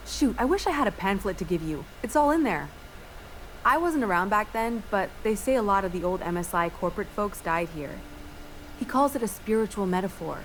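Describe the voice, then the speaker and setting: A woman's voice: mid-range voice, lightly acted, poor audio. A woman acting a folksy frontier settler, faint noise. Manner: chatty, plainspoken, folksy. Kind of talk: video game character dialogue.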